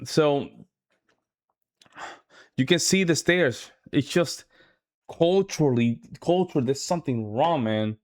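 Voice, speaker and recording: A man's voice: a little high, slow pace, pauses often. A man hosting a reaction video, frank and opinionated. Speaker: reaction channel host. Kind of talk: reaction commentary.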